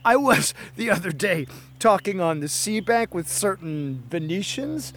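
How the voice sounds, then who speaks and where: Man playing a high-voiced, scoffing, vain lieutenant. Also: a little slow, full character voice. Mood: boastful mockery. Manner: boastful, scoffing, animated. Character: demoted young lieutenant, vain and mocking about his lover. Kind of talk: Shakespearean tragedy acting in modernized English.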